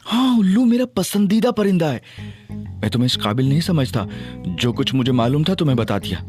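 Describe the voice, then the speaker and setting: Playful male narrator over music. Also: on the high side. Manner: playful, cheeky, mock-innocent. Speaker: narrator and young hero. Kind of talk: first-person audio drama narration and acting.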